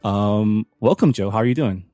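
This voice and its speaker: A man's voice: on the low side, normal speed, warm tone, dry recording, clean studio sound, faint music behind. A man hosting a podcast in a casual, conversational way, introducing the show and interviewing. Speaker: podcast host.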